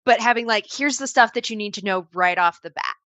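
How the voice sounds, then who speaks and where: A woman's voice: a little high, brisk, pushed and bright, dry recording. A woman on a video call giving conversational advice on training assistants, often acting out quoted examples. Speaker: panel guest advising on assistant training.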